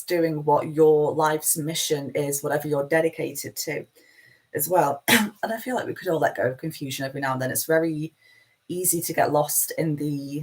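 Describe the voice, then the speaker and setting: A woman with a broad Manchester accent and a low, deep-set voice hosts a live spiritual talk. She meanders through her points in a chatty, self-deprecating way. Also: darkish tone, slightly rough, low-quality recording. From Manchester, England. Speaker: spiritual teacher hosting a live session.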